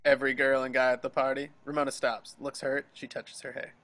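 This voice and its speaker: A man's voice: medium pitch, little pitch movement, a little fast, a bit bright. A man reading stage directions as scripted narration for a dramatic table read over a video call.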